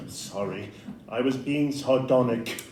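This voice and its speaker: A man's voice: mid-range voice, slow, a little mellow, a little hiss or hum, natural voice. Man playing a nervy Victorian doctor, roomy distant sound. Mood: sardonic. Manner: formal, courteous, wordy phrasing. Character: frail convalescent Victorian doctor, nervy, sardonic, courteous. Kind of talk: stage audio-play acting. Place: live performance in a club room. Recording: distant roomy live stage recording.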